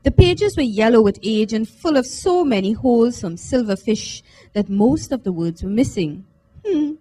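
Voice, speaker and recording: A woman giving an expressive first-person literary reading, in a measured, deliberate, dramatic manner. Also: on the high side, poor audio. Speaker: reader at a book launch. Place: live book launch event at a library.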